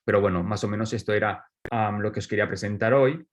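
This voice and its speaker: A man giving an online academic presentation in Spanish-language style, speaking haltingly with many restarts and fillers over a video call. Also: fairly low pitch. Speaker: seminar presenter.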